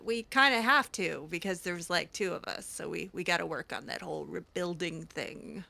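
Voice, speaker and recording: A woman improvising in-character dialogue as she plays a roleplaying game, halting and repeating words. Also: on the low side, vocal fry, bright, forceful tone.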